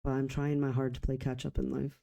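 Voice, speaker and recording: A man of about forty talking reflectively in a personal vlog, halting in short phrases with pauses. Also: mid-range voice, completely flat delivery, gravelly, dark tone. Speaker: vlogger.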